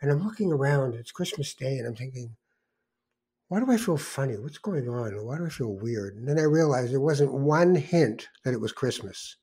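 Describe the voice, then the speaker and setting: A man's voice: medium pitch, lively intonation, moderate pace, warm tone, slightly rough. An older man with a Canadian accent hosting a podcast in a casual, conversational way, with reflective, rambling storytelling. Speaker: podcast host.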